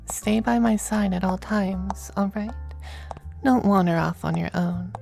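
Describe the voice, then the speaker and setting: A woman voicing a suave, possessive demon femboy in an ASMR roleplay, with genteel, teasing endearments and a breathy voice that dips into whispers. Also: medium pitch, unhurried, darkish tone, soft background music. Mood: possessive, fond. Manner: genteel, languid, commanding endearments.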